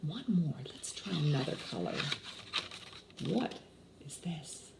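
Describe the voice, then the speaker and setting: Woman, 60s, children's story-time leader. Lowish voice, unhurried, instructive, with questions for the kids. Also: lively intonation. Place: small indoor room with window blinds. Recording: roomy echo with clear background noise.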